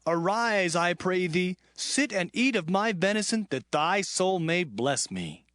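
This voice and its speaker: An actor playing an eager, scheming younger son in a dramatized scripture reading, earnest and declamatory. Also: male voice, high voice, lively intonation, a little slow, a bit bright, dry recording. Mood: eager, ingratiating. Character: younger son, deceiver posing as his brother, eager.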